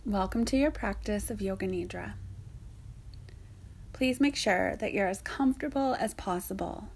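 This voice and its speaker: A woman softly guiding a yoga nidra meditation in a soothing, breathy way, leaving long pauses. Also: medium pitch, a little hiss or hum. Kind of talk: guided meditation narration.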